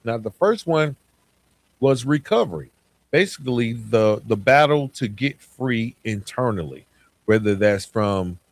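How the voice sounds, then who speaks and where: A man hosting a podcast in a reflective monologue, speaking earnestly and with deliberate emphasis straight to his listeners. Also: medium pitch, animated. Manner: earnest, addresses listeners directly as guys. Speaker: podcast host.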